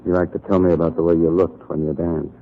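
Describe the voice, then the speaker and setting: An actor playing a hard-boiled, brooding newspaper columnist in 1940s radio noir, terse and sardonic, with an American accent. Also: male voice, deep voice, level tone, quick pace, lightly acted. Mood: probing. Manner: terse, world-weary, sardonic. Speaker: narrator and lead actor. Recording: muffled, noisy 1940s radio recording.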